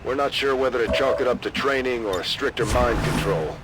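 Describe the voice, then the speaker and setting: An actor playing a stern, businesslike military commander giving measured, authoritative mission briefings in a video game. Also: male voice, mid-range voice, very noisy, natural voice. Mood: serious, resolute.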